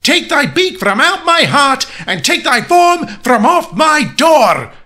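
A man playing an overwrought, frantic haunted gentleman in a melodramatic comic poem performance, exclaiming theatrically. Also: sits high, expressive, moderate pace, pitched above the performer's own voice. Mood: anguished fury. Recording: music under the voice. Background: music.

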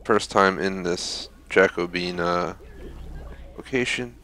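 A man's voice: low voice, slow pace, sharp tone, slight background noise. A man giving casual, off-the-cuff commentary while playing through a video game. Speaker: gaming video host.

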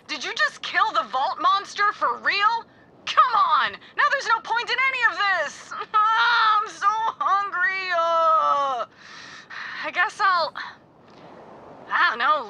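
A woman's voice: very high voice, animated, slow, sharp tone, full character voice. An actress voicing a bratty, petulant young woman villain in a video game, whiny, mocking and slangy. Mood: outraged. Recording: game sound noise behind.

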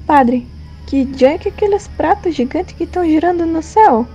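A woman's voice: very high-pitched, animated, moderate pace, dark tone, muffled recording, soft background music. A woman playing a nosy, plain-spoken village girl in an audio drama, colloquial and inquisitive. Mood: curious, alarmed.

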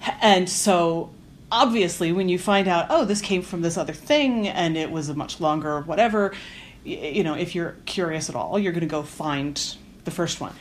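A woman's voice: fairly low pitch, moderate pace, a little hiss or hum. A woman interviewing someone casually, with a curious, agreeable, conversational manner.

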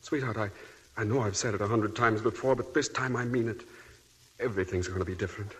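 Smooth-talking troubled husband, low, husky, quick, 1940s radio play. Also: male voice. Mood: pleading. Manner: glib, evasive. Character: charming, troubled young husband hiding secrets. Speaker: radio drama lead actor.

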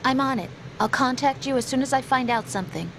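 Tender, higher-pitched young woman, noisy background. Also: quick pace. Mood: supportive. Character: tender but evasive young female analyst. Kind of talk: video game radio-call dialogue. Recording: clear background noise.

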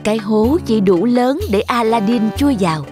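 A woman's voice: fairly high pitch, lively intonation, normal speed, over music. A woman narrator reading a scripted fairy tale for children.

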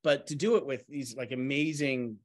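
Middle aged guy on a video call, self-deprecating writer type, stops and starts, mid pitch, dry sound. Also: normal speed, no echo. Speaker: playwright and actor. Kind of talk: casual talkback reflection. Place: home room on a video call. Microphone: video call microphone.

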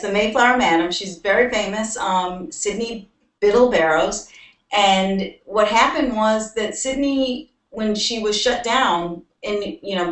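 A young American woman from New York, an author talking at length as a guest in a video call interview. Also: medium pitch. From New York, United States. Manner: talkative, explains at length. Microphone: webcam on a video call. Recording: roomy webcam audio from a distance.

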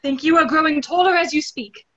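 A woman's voice: high-pitched, brisk, fairly bright tone. A young woman playing a dreamy, startled girl full of questioning wonder in a theatrical play reading. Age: young adult. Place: plain room at home, on a video call. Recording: muffled video-call audio.

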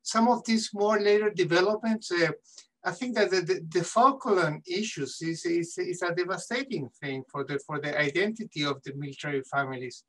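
A man's voice: high-pitched, a bit bright, recorded from a distance. A middle-aged man from Chile speaks English with a Chilean Spanish accent, stopping and repeating small words as he feels his way through a long question. He talks into a laptop on a video call from a home study, his voice sitting a little back from the microphone. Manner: halting, repeats words while searching for them. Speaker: audience questioner. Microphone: laptop webcam mic on video call.

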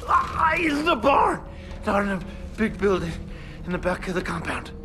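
High, strained captured cartel man. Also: very animated, moderate pace, background noise. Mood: panicked, pained. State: in pain, panicked. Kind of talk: video game cutscene acting.

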